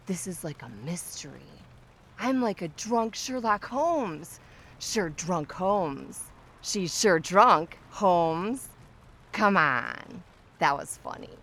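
An actress plays a tipsy, pushy young woman in a comic audio play. Her voice sits slightly low but is very animated. She draws her words out slowly as she needles and teases, insistent and nosy. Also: full character voice. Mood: tipsy, chatty. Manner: chatty, teasing, insistent. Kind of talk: audio drama comedy acting.

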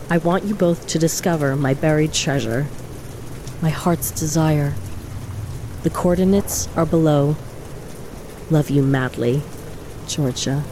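Woman acting a low, mellow, wistful young woman. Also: a little hiss or hum. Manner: wistful, affectionate. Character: tender young woman reading her farewell letter. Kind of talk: audio drama letter narration.

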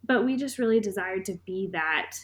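A woman's voice: mid-range voice, lively intonation, normal speed, slight background noise. A woman from Tennessee, a podcast guest, talking earnestly and heartfelt about her marriage. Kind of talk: personal conversation.

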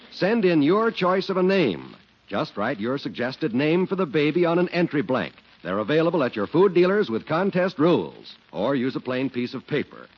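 A man working as a 1940s radio announcer, delivering scripted advertising and narration. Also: a little high. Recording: muffled 1940s radio recording. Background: music.

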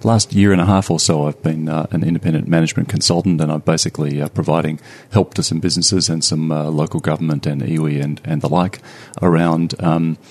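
A man with an Australian accent, a guest on a podcast, answering questions in quick, fluent conversational talk with frequent fillers. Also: a bass voice, steady tone, darkish tone. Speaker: management consultant, podcast guest.

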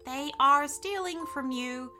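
A woman voicing a small, friendly, loyal robot guard in a storybook, speaking in an earnest, formal, measured way. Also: sits high, pushed and bright, voice pushed up, full character voice. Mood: calm, protective.

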